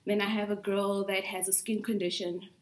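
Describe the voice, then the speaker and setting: A woman's voice: mid-range voice, flat delivery, fairly quick, low-quality recording. A young woman from Congo, a podcast guest, chatting casually and laughing often. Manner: giggly, easygoing, laughs often. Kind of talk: casual chat.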